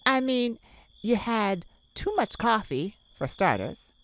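A woman's voice: fairly high pitch, big pitch swings. A woman voicing a sassy, kind-hearted cat friend in an ASMR roleplay, theatrical and teasing as she scolds the listener in a motherly way. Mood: exasperated. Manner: theatrical, teasing, drawn-out emphasis. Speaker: audio roleplay performer.

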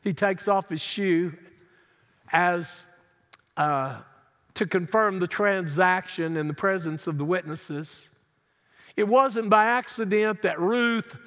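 High-voiced preacher, slow and emphatic. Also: male voice, fairly bright tone. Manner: earnest, emphatic preaching with wide hand gestures. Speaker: pastor. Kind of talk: sermon. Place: large church sanctuary, indoors. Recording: long church hall reverb.

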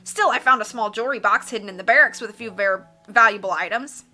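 A woman puts on a theatrical male villain from a storybook, forceful and raspy. He gloats and boasts in a drawn-out, greedy way. Faint music plays behind him. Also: on the high side. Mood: boisterous triumph.

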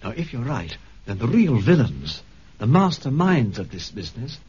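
An actor playing a precise, erudite, courteous surgeon with a dry manner in a 1970s radio drama. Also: male voice, mid-range voice, sing-song, moderate pace, a little mellow, slightly rough. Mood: dry, urgent. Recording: dull vintage radio recording.

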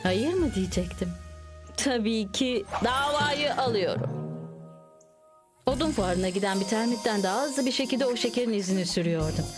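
A woman's voice: fairly high pitch, lively intonation, normal speed, lightly acted. A woman voicing an eager young insect who narrates like a hard-boiled detective in a dubbed cartoon, dramatic and mock-serious. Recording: music under the voice.

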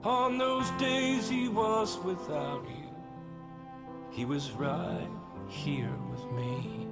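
A man singing a slow, heartfelt ballad on a recording with piano. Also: high voice, fairly even intonation. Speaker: singer-songwriter. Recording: song with loud music.

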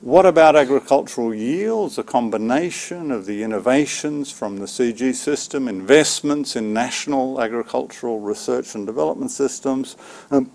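Male, sixties, keynote lecturer at a research seminar. Medium pitch, measured and halting phrasing, podium mic. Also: gravelly, darkish tone. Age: 60s. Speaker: keynote speaker, agricultural economics researcher. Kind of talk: academic seminar lecture from slides. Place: seminar room with small audience, indoors. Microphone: podium microphone.

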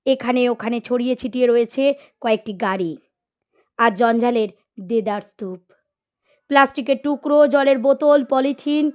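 A woman reading a scripted literary story as narrator, in an expressive storytelling style. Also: high-pitched. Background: music.

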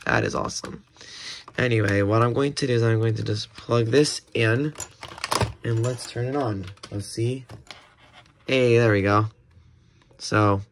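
Male unboxing host, medium pitch, casual and unscripted, halting and self-correcting, noisy tabletop recording with clicks. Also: fairly even intonation. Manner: hesitant, rambling, self-correcting. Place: indoors at a table. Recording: clear background noise, handling clicks.

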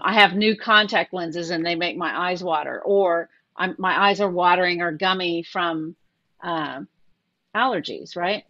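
A woman's voice: fairly low pitch, pushed and bright, no room sound, lo-fi sound. A woman presenting a talk over a video call, chatty and asking her listeners questions. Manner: conversational, asks the group questions.

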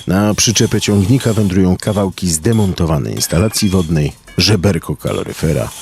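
A man narrating a story in rough, slangy working-class speech. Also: deep voice, normal speed, a little mellow. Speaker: narrator and solo performer of a radio story. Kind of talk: dialect-heavy storytelling with acted voices.

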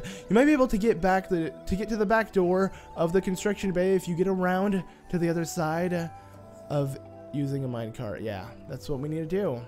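A man with a slightly high, stuffy nasal voice talks casually while he plays a game. He rambles, gets excited and fills gaps with "like" and "uh". Soft game music plays faintly behind him. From United States. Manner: chatty, excitable, rambling with fillers. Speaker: let's play host. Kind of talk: casual gameplay commentary.

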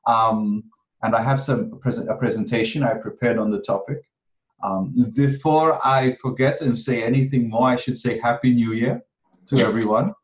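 A man in his thirties from Kenya, a guest astrology teacher, talking casually on a video call and pausing often with ums and restarts. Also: medium pitch, steady tone. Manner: hesitant, many ums and restarts. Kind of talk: casual interview talk. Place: home room, video call. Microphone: video call webcam. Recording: dull video-call audio.

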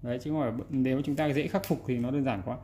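Male repair presenter, casual and demonstrative, medium pitch, slightly noisy indoor recording. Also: steady tone, fast talker, dark tone. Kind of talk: casual hands-on repair explanation. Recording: background noise, room ambience.

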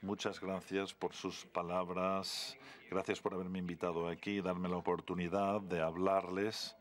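A man interpreting a formal speech live, halting and correcting himself, with stretched words followed by quicker bursts. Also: sits low, flat delivery. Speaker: simultaneous interpreter.

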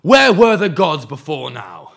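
A man's voice: on the high side, huge pitch swings, a little slow, gravelly, fairly bright tone, full character voice. A man playing a zealous, commanding mantis-man cult leader in an audio drama, oratorical and imperious. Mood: grandiose preaching.

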